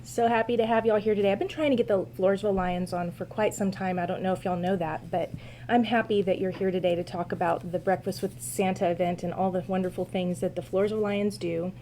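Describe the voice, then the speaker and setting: Brief: woman host, mellow soft voice, chatty livestream, light room noise. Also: medium pitch, steady tone, quick pace, low-quality recording. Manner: friendly, chatty, with frequent ums. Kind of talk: casual livestream chat. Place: small newspaper office.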